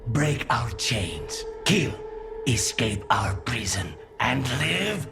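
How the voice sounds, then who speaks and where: An actor playing an angry, demanding demon spirit in a video game, speaking in menacing, emphatic phrases. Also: male voice, mid-range voice, sing-song, extremely slow, music in the background, heavily acted. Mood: accusing.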